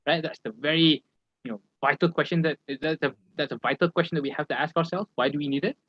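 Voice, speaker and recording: A man's voice: fairly high pitch, fast talker. A young man co-hosting a casual student podcast, easygoing and hesitant, with many fillers. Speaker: podcast co-host. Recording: dull, lo-fi dry sound.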